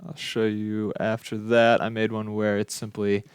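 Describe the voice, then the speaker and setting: Young man, lowish pitch, clear smooth tone, informal tentative demo delivery, student presenter. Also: completely flat delivery, normal speed, no echo. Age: young adult. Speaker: student presenting a final project. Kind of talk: casual software demonstration.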